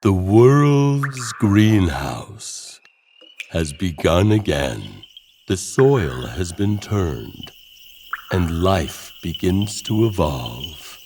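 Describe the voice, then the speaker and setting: A man narrating scripted horror tales as host, in a theatrical, wry manner with drawn-out phrasing. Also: sits low, sing-song, darkish tone, slight background noise. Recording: music under the voice.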